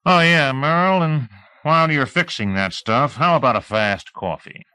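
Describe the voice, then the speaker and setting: A man's voice: medium pitch, moderate pace, sharp tone, clean tone, no room sound, voice pushed up, close to an everyday voice. A man voicing a small, cocky police chief for an audiobook, sardonic and commanding, with mock-friendly needling.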